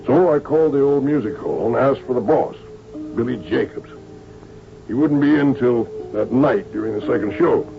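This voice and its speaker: An actor playing a brisk, probing police inspector with a gravelly voice in an old-time radio drama. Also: male voice, mid-range voice, soft background music, natural voice. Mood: matter-of-fact. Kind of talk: old-time radio drama acting with first-person narration. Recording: dull old radio recording.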